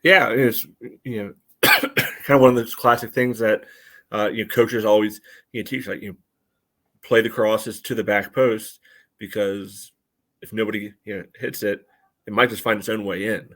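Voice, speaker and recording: Man, fairly low voice, soccer podcast co-host, measured, analytical, casual, frequent "you know". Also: steady tone, fairly bright tone.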